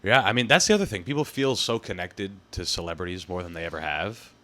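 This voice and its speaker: A man's voice: low voice, fast, fairly bright tone. A young adult man hosting a podcast, chatting in a laid-back way and laughing easily. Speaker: podcast host. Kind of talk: casual chat.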